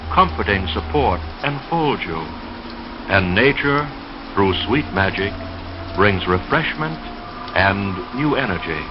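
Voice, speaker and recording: Slow, low-voiced man, vintage radio poetry reader. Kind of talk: 1930s late-night radio poetry reading and sponsor announcing. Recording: muffled vintage radio sound over music. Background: dreamy music.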